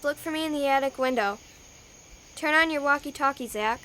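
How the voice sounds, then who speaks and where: A woman's voice: high voice, a little fast, heavy background noise, natural voice. A young female performer playing a plucky, determined girl in an amateur radio drama. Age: child.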